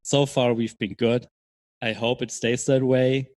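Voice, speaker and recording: A man answering as an interview guest in casual chat, explaining things in a measured, hesitant way. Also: medium pitch, level tone, darkish tone, no echo. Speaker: podcast guest, software startup co-founder.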